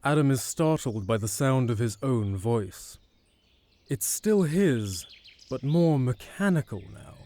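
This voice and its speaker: A man's voice: medium pitch, dark tone. A man reading scripted narration for an audio drama.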